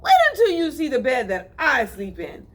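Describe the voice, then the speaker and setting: A woman's voice: high-pitched, huge pitch swings, moderate pace, pitched above the performer's own voice, heavily acted. A woman voicing a boastful, snobbish male city mouse who sneers at simple country life. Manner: bragging, sneering, fussy.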